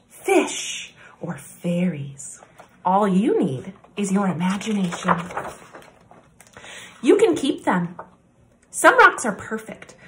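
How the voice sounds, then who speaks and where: A woman presenting story time, reading a children's picture book aloud with deliberate, expressive phrasing and pauses. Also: mid-range voice.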